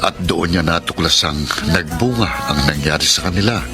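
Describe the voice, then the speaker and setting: A man's voice: low-pitched, moderate pace. An actor playing a reflective man telling his love story in a sentimental, poetic radio drama style. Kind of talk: radio drama acting and first-person letter narration. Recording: loud music bed under the voice.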